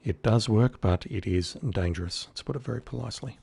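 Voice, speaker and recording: Guy streaming a game, low scratchy creaky voice, kinda dry and wry, just chatting along. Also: fairly quick, warm tone. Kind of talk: casual live playthrough commentary.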